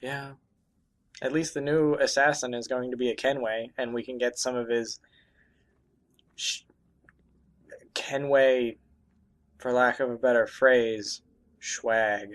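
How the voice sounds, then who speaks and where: A man's voice: medium pitch, steady tone. Joking man doing casual game commentary. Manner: joking, profane, halting. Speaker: Let's Play commentator. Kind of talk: casual gaming commentary with a co-host.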